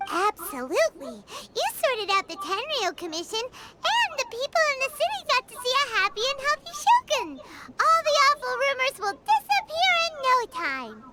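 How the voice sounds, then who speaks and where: A woman voicing a tiny cheerful fairy girl in a video game, squeaky, childlike, eager and chatty. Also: very animated, normal speed, pushed and bright, heavily acted. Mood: excited. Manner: bubbly, exclamatory. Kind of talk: video game character acting. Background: background music.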